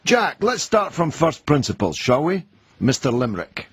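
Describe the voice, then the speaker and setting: A man's voice: a little high, sing-song, moderate pace, a bit bright, slightly rough, dry recording. A man from Britain hosting a radio phone-in, combative and questioning his caller. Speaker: radio talk show host. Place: radio studio. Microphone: studio mic.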